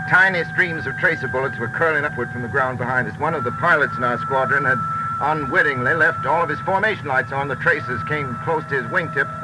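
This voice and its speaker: An actor playing an earnest war correspondent in a 1940s radio drama, narrating an eyewitness report in a measured, deliberate way with dramatic pauses. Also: male voice, mid-range voice, close to an everyday voice. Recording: noisy 1940s radio recording, engine roar.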